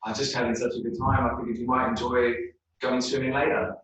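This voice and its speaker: A man's voice: mid-range voice, level tone, a little fast, fairly bright tone, a little hiss or hum, voice pushed up, natural voice. A man voicing a friendly, chatty male sea turtle in an easygoing, hesitant way. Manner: easygoing, hesitant with little fillers. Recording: very echoey room, mic far off.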